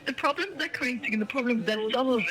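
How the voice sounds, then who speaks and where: A woman's voice: a little high, fast talker, crowd noise, rough recording. A middle-aged woman being interviewed as a guest, speaking enthusiastically and emphatically, often repeating phrases for emphasis. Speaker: interview guest, children's storytelling project founder. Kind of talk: enthusiastic radio interview answers.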